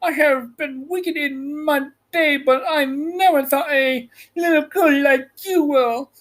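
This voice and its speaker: A man voicing a wicked, cunning old witch from a storybook, with a shrill, wailing delivery. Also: slow, a bit bright, exaggerated voice. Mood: despairing.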